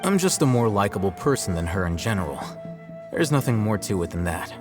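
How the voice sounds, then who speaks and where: Aloof, low-voiced young scholar, reverberant. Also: male voice, big pitch swings. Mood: smug. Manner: measured, detached, dryly matter-of-fact. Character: aloof, cerebral young male scholar scribe, coolly self-assured. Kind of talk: video game character dialogue. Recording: long reverb, music behind the voice.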